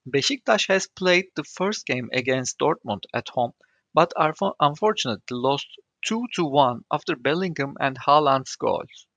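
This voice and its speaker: A man's voice: medium pitch, lively intonation, normal speed, creaky, a bit bright, no echo, lo-fi sound. A young man with a Turkish accent hosting a solo podcast, speaking English carefully as a non-native speaker, with some fillers. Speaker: podcast host.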